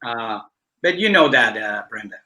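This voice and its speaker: A man from Ecuador who grew up in Cuba and speaks English. He answers in an earnest, halting way, with many fillers, over a video call. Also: mid-range voice, lively intonation, sharp tone. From Ecuador, raised in Cuba. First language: Spanish. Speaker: guest musician. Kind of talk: conversational interview answer.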